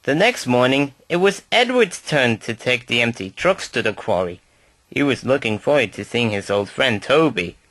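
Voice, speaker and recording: A man's voice: medium pitch, expressive, normal speed, fairly bright tone, no room sound. A man reading a scripted children's story aloud as narrator.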